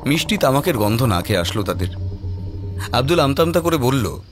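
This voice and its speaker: A man's voice: mid-range voice, big pitch swings, moderate pace. A man narrating a scripted horror story in a dramatic storytelling style. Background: prominent background music.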